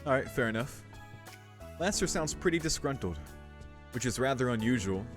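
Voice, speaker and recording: A man streaming a game, casually commenting and reading text aloud, thinking out loud as he goes. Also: medium pitch, animated, moderate pace. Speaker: let's play streamer. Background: game music.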